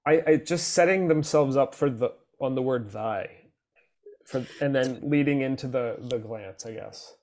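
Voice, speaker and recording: A man speaking conversationally as a choir teacher asking questions, halting as he searches for words. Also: medium pitch, a little mellow. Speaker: choir teacher attending the session.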